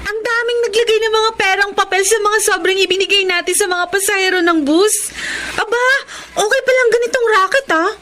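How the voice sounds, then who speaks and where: Cheeky kid sister, very high, sharp and bright, radio drama. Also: female voice, brisk. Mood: gleeful. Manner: pushy, streetwise, excitable. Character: eager young sister, bold and cheeky. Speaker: younger sister. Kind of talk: Filipino radio drama acting.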